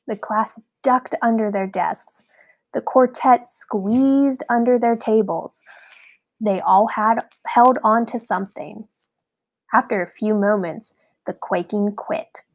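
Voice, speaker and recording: Female storyteller for children's read-aloud: expressive, unhurried delivery, touches of vocal fry. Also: mid-range voice, rough recording. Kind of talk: scripted children's story narration.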